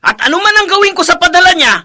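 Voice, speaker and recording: A brazen, selfish Filipino husband in a radio drama. His voice is high and piercingly forceful, swinging widely in pitch as he talks with cocky defiance. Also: sing-song, quick pace, heavily acted. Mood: defiant anger.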